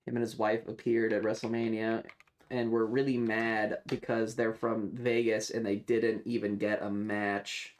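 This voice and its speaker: Slow-talking male streamer, rambling casually. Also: lowish voice, little pitch movement. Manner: rambling, halting, swearing. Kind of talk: casual livestream commentary.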